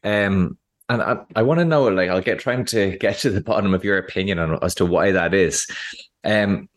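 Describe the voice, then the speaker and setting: An Irish man in his young adult years hosts a podcast with a notably deep, low voice. He speaks in an earnest way, sometimes hesitating and restarting his sentences with fillers. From Ireland. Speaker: podcast host. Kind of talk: conversational podcast interview.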